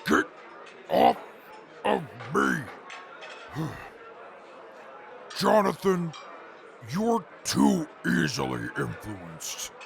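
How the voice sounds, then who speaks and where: An actor playing an arrogant, scheming would-be ruler in an audio drama, pompous and indignant, with a gravelly voice and disdain for weak humans. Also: male voice, fairly high pitch, sing-song, very slow, pauses often, music in the background, full character voice. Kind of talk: post-apocalyptic audio drama acting.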